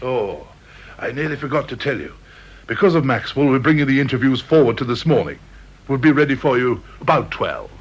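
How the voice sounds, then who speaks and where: A British actor in a radio drama playing a middle-aged, genial senior personnel chief, breezy, avuncular and chatty. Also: male voice, mid-range voice, animated, brisk, slight background noise, natural voice. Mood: breezy, hurried. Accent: British English. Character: genial, chummy senior personnel chief, old-school gent. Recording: dull, lo-fi old radio recording.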